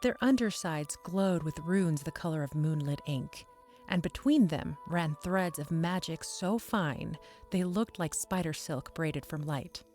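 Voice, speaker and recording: Low-voiced woman narrating fantasy. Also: unhurried, a little mellow, soft background music. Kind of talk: scripted fantasy story narration.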